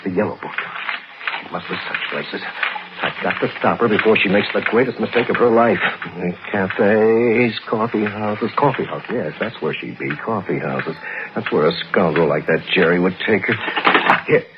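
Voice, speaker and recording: A man's voice: on the low side, moderate pace, natural voice. An actor playing an anxious, overprotective middle-aged father in a 1960s radio suspense drama, with a fretful, brooding, melodramatic delivery. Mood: desperate. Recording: noisy, dull 1960s radio recording.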